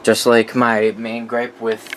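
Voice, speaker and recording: A man's voice: mid-range voice, completely flat delivery, slow pace, a little hiss or hum. A man presenting a collection in a casual, unscripted way, informal and opinionated, swearing freely. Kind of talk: casual unscripted product walkthrough.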